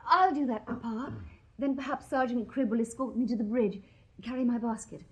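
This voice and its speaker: A woman's voice: on the high side, brisk, slightly rough. An actress playing a prim, coy young Victorian lady in a radio drama. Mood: polite.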